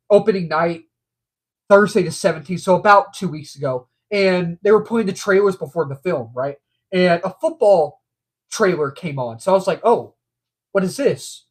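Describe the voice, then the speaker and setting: A man hosting a casual movie news livestream, stuffed up from allergies, rambling and repeating himself with frequent ums. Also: high-pitched.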